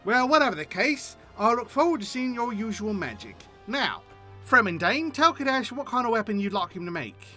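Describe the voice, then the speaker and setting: An earnest young squire in a fantasy game, acted by a man. The speech is formal, polite and eager. The voice is high and bright with animated swings. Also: moderate pace, faint music behind, exaggerated voice. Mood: eager, courteous.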